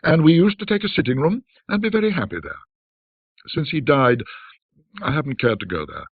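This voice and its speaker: A man with a husky voice narrating a classic ghost story in a measured, theatrical, reflective manner. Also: on the high side, animated, no room sound, narrow, tinny audio.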